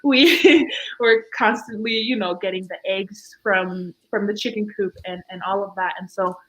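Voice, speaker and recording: A young woman from Fiji speaks as a podcast guest, sharing her story in a relaxed, chatty way. She tells it with lively energy and breaks into laughter often. Her pitch sits in the ordinary middle range for a woman. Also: moderate pace, poor audio. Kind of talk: casual interview chat.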